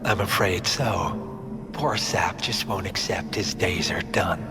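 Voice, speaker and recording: Slow, low-voiced condescending villain. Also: male voice, vocal fry, exaggerated voice. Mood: dry amusement. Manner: condescending, measured, formal. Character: cold, urbane older male mastermind villain. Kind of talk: cinematic video game acting. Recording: loud dramatic music behind.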